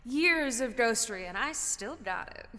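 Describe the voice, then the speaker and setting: A woman's voice: fairly high pitch, expressive, normal speed, bright, forceful tone. A woman playing a cocky, wisecracking ghost in an audio drama, breezy and cheeky, heard in long reverb. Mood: smug, teasing.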